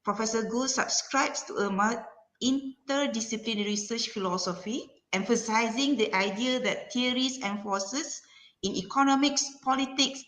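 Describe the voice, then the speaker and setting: A woman with a Malaysian accent reading a formal speaker introduction as event moderator, halting and careful, stumbling over the odd word. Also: a little high, fairly bright tone. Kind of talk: formal scripted introduction read aloud. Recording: echoey room sound.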